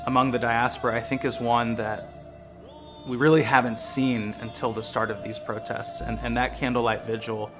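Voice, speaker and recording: An American man speaks in a measured, earnest documentary interview, reflecting calmly. His voice sits at a middle pitch. Guitar music plays clearly beneath him. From United States. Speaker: lawyer and street photographer. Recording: music bed playing behind voice.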